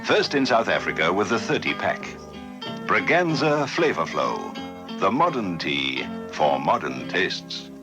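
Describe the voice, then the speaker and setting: A man's voice: low voice, big pitch swings, a little slow, music in the background. A man reading an old radio commercial in a punchy, promotional way. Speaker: advertising announcer.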